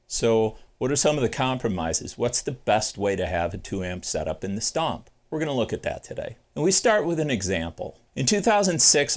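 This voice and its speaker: A man in his forties hosting a casual guitar gear tutorial from his home music studio, chatty and self-deprecating, thinking aloud with fillers. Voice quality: deep bass singing voice. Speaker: guitar channel host.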